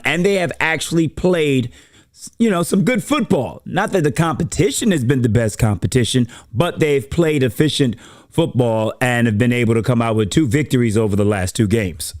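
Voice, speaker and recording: A man with a hoarse, strained voice talks football at a middle pitch. He explains plays in a measured, steady way. It sounds like casual co-host chat. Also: no echo, studio quality. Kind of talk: casual sports talk.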